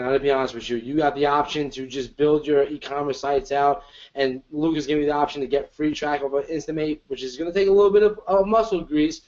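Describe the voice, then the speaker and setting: A man with a slightly high voice makes a pushy, slangy sales pitch. His audio comes through a muffled, lo-fi video call. He keeps calling the audience "guys" and "bro.". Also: a little mellow. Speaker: co-presenter and marketer.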